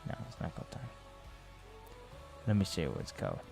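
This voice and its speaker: A man's voice: deep voice, huge pitch swings, brisk, gravelly, music in the background. Teasing young man hosting a radio talk show. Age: 20s. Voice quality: teasing, loose and rambling.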